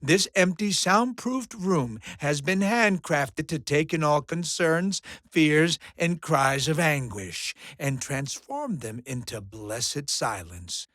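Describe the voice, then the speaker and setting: Casting: greedy, smarmy alien studio boss, game villain. High, piercing voice, bombastic showbiz delivery, condescending. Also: male voice, animated, fairly bright tone, no echo. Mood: smarmy corporate. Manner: fast-talking showbiz patter, theatrical, condescending. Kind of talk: exaggerated video game villain acting.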